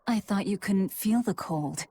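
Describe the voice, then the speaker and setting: An actress playing a cold, guarded young female demon fighter with a curt, deadpan manner in a video game. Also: medium pitch, a little slow, slightly rough. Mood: dry. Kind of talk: English video game voice acting.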